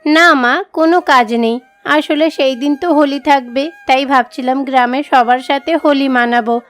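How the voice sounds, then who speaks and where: A woman voicing a meek, devout young village daughter in an animated moral story, earnest and plaintive. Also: high voice, moderate pace, faint music behind, natural voice. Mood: hopeful, timid.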